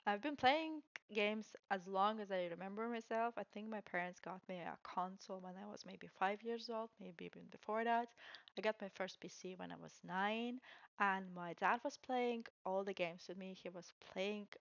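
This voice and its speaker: A woman's voice: medium pitch, normal speed, dry recording, muffled recording. A young adult woman from Estonia with an Estonian accent, telling her own story casually in a candid, self-deprecating way. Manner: candid, self-deprecating, chuckles while talking.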